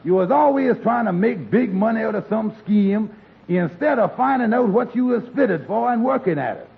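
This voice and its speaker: A blunt, scolding pal, high and rough-voiced, 1940s radio comedy, tinny broadcast sound. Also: male voice, expressive, moderate pace, heavily acted. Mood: lecturing. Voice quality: gravelly, husky, ungrammatical dialect speech. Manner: lecturing, frank. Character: blunt, scolding male friend giving honest advice.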